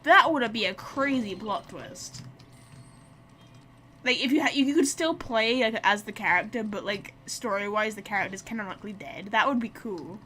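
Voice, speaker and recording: A woman's voice: medium pitch, moderate pace, sharp tone, slight background noise. A woman game streamer giving casual, playful commentary, chatting and thinking aloud as she plays. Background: video game sounds.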